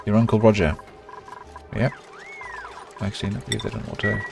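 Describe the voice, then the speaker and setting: A middle-aged man with a South African accent talks his way through a game, reading and answering his chat in a loose, chatty way. His voice sits a little low. Faint game music plays underneath. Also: moderate pace, gravelly. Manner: relaxed, chatty, talks to himself while building. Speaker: game streamer. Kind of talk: live gameplay commentary with chat.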